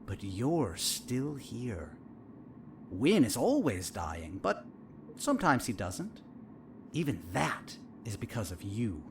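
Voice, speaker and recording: A man playing a sinister, smug therapist in a horror audio drama, measured and theatrical, with a falsely soothing, condescending manner. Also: medium pitch, sing-song, a little hiss or hum.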